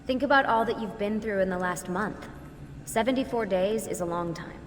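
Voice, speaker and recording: An actress playing a level-headed young team leader, earnest and caring, in naturalistic game dialogue. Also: female voice, medium pitch, moderate pace, slight background noise, natural voice. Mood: calm. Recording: reverberant room, faint music behind.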